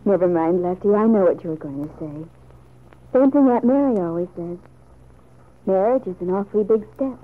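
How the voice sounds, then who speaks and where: An earnest, resolute young woman, played by an actress, speaks with a distinctly husky, breathy voice. It is old-time radio serial acting on a dull, lo-fi vintage recording. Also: medium pitch, animated, normal speed, lightly acted. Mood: earnest, determined. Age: young adult.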